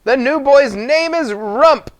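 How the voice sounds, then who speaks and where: A man reading a children's story, voicing an excited little gnome crier calling out news. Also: extremely high pitch, takes it slowly, dry recording, pitched above the performer's own voice. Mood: excited announcing. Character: crowd of small crying gnomes spreading news. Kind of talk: audiobook character reading for children.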